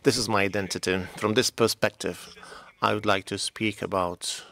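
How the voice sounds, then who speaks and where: A man interpreting conference speeches in English, delivering them in a measured, steady way and sometimes dropping almost to a whisper. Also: on the low side, gravelly. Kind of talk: simultaneous interpretation.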